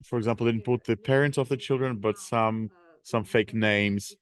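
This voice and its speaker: A man with a fairly low voice works as a live interpreter at a seminar. He builds his sentences piece by piece, haltingly, often repeating a word before moving on. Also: steady tone. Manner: halting, piecing phrases together with repeats.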